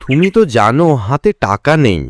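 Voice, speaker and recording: A man's voice: medium pitch, very animated, moderate pace, a little hiss or hum, lightly acted. A man playing a worried middle-aged village father in a cartoon fairytale. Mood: resigned. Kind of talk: Bengali cartoon fairytale dialogue.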